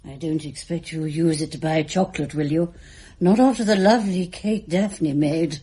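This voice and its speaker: An actress playing a frail, kindly old woman in a radio drama, husky, genteel and wistful. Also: sits low, normal speed, warm tone. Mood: fond, gentle.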